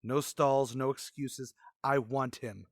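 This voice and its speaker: A man's voice: medium pitch, steady tone, takes it slowly, dry recording, studio quality. A man playing a stern police chief in a radio crime drama, blunt, clipped and authoritative. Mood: stern, fed up. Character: stern, commanding male police chief demanding results.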